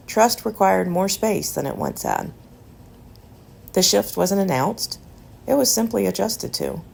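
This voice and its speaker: Lower-pitched woman narrator with gravelly creak. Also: a little mellow. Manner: measured, reflective, deliberate phrasing with short pauses. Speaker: essayist reading own work. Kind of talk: scripted personal essay narration.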